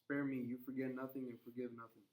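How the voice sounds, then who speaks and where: A man's voice: mid-range voice, flat delivery, quick pace, a little mellow, lightly acted, rough recording. A man reading the part of a proud, defensive Puritan husband in a play, stern and exasperated. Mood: bitter, exasperated. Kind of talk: classroom play read-aloud.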